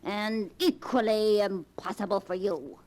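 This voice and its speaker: A woman's voice: medium pitch, a little slow, darkish tone, lightly acted. A woman playing a cackling scheming grandmother. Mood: sly, mocking. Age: older. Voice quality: dry, cackling. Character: scheming old grandmother, cold and mocking. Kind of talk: 1940s radio acting. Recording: old radio recording with faint hiss.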